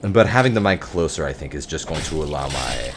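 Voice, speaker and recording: A young man with a deep voice, streaming a game and talking wryly into a close mic about his own failures. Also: lively intonation, brisk, background noise. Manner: self-deprecating, wry, muttering curses. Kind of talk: casual gameplay commentary. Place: home office. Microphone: close desk mic.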